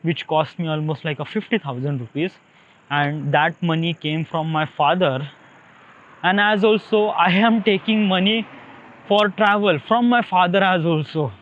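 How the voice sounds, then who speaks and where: Tinny phone quality, young indian guy w indian accent, kinda high voice, just rambling casually, lots of hesitating and repeats. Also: normal speed, a bit bright, slight background noise. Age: young adult. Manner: hesitant, repetitive, searching for words. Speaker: solo podcaster. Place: outdoors beside a highway, walking. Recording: thin phone-line-like sound.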